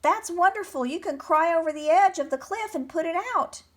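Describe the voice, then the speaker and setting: A woman voicing a small, earnest male mole in a storybook, squeaky, pleading and coaxing. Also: animated, moderate pace, fairly bright tone. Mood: pleading, excited.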